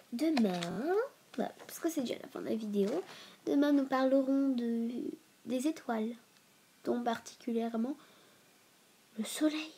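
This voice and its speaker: A woman's voice: on the high side, dark tone, slightly rough. A woman with a stuffy nose from a cold hosting a casual educational video, reading aloud and breaking into informal asides as she stumbles over long words. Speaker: video host.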